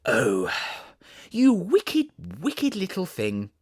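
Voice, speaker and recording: A man's voice: high-pitched, huge pitch swings, drawn-out words, breathy edge, pitched above the performer's own voice, full character voice. A man voicing a playful young storybook girl, chattering and mock-scolding her kitten with affection.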